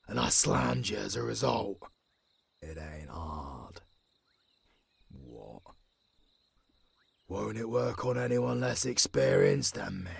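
A man playing a gruff, centuries-old werewolf mentor in an audio roleplay, with a British accent, a raspy voice and a stern, scolding manner. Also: fairly low pitch, heavily acted. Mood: scolding, exasperated. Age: older. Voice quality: raspy, gravelly. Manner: stern, swearing, scolding drill-master.

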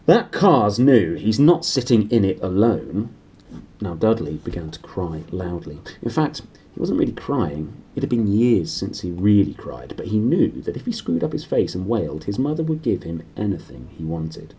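A man's voice: deep voice, sing-song, normal speed, dark tone, slight background noise. A man in his thirties with a British accent, reading a storybook aloud in a small room. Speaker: reader.

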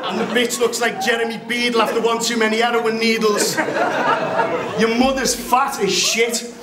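A young man with a Liverpool accent, delivering a cappella battle rap loudly and forcefully in a brash manner. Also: very high voice, steady tone, moderate pace, very noisy. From Liverpool, England. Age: young adult. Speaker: battle rapper. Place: crowded indoor club venue. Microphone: overhead boom. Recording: echoey venue with loud crowd.

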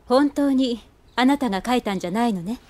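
Anime drama casting woman: refined, strict rich schoolgirl, high voice, brisk prim delivery. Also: darkish tone, lightly acted. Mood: businesslike. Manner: formal, prim. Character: proper, strict, refined upper-class teenage schoolgirl. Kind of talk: anime audio drama acting.

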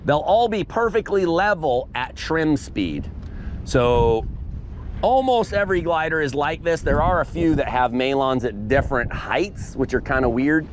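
A man's voice: fairly high pitch, animated, a little slow, a little hiss or hum. A man instructing a paramotor class outdoors on a sandy beach, explaining gear emphatically with punchy asides, recorded on a head-mounted camera. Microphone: head-mounted camera mic.

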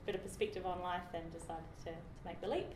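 快语速的新西兰口音女人. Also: female voice, fairly low pitch, fast. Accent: New Zealand. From New Zealand. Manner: chatty, frequent fillers and restarts. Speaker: project engineer guest. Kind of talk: casual interview answers. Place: office meeting room with large windows. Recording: roomy office with steady background noise.

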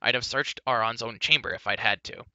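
A gruff little fair-folk creature who snaps and blusters at everyone, as a man puts him on for a storybook reading. The voice is loud, bright and tense, and the dull, dry recording sits close. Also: mid-range voice, fairly quick, no echo. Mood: irritated. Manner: snappish, blustering.

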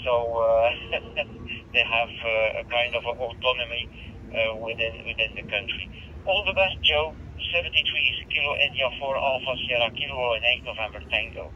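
A man's voice: mid-range voice, moderate pace, slight background noise. Flemish man over a ham radio. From Belgium. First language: Dutch. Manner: chatty, laughs between phrases. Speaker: radio operator. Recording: dull radio transmission.

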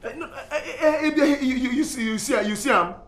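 A man's voice: a little high, very animated, brisk, a bit bright. Man playing evasive young Nigerian lover, stammering, pleading, Pidgin drama, echoey room with music behind. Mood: flustered, defensive. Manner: stammering, whining, repetitive. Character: evasive young boyfriend pleading his innocence. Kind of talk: Pidgin English comedy-drama acting.

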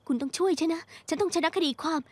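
Very high-pitched, pleading young woman. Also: fast, dry recording. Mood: bitter, pleading. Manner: pleading, emotional, insistent. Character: distraught, insistent young woman fighting for her father's inheritance. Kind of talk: dubbed TV melodrama acting.

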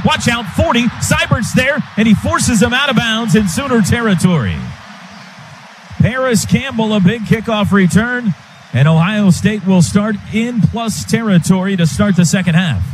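High-voiced American man calling football play-by-play. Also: moderate pace. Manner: clipped short phrases of running play description. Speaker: radio play-by-play announcer. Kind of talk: live American football radio commentary. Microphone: broadcast booth microphone. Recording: live stadium broadcast, faint ambient noise.

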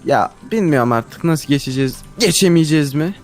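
A man's voice: a little high, animated, brisk, soft background music. A man in casual co-op gameplay banter, joking and slangy, often repeating his words. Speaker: gaming video co-host.

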